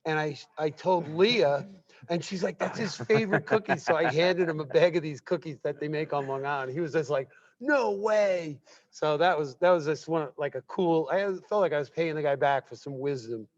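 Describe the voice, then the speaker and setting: A man's voice: fairly high pitch, normal speed. A man talking casually as a guest, friendly and effusive, his voice worn from talking. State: losing his voice. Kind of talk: casual conversation. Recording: dull, muffled livestream audio.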